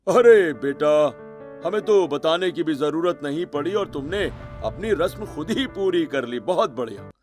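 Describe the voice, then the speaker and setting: A man's voice: a little high, animated, measured pace, soft background music. A man voicing an easygoing, indulgent middle-aged father-in-law in a cartoon story, with a reassuring manner. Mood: approving.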